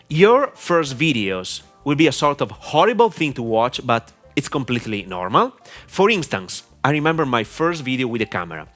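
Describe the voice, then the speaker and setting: A man with an Italian accent, whose first language is Italian, giving a deliberate, clear instructional talk to camera with frequent "uh" fillers. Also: medium pitch, a bit bright. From Italy. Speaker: online marketing mentor.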